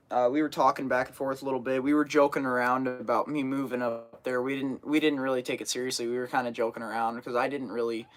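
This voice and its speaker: A teenage boy chatting casually on a live stream, getting over a cold and sniffling, rambling with lots of fillers and restarts. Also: medium pitch. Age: teens. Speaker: fishing channel host.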